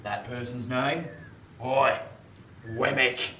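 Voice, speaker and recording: An actor playing a rough, emotional convict of about sixty in a radio play, with a working-class English accent and halting rustic speech. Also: male voice, mid-range voice, steady tone, close to an everyday voice. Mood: sly, probing. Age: 60s. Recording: distant, echoey, faint hiss.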